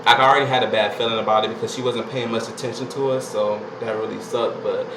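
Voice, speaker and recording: A man's voice: mid-range voice, steady tone, fast talker. A young man, telling a laid-back, chatty story to camera and filling it with "you know" and "like". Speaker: vlogger. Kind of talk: casual storytelling vlog. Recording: echoey room with air conditioner hum.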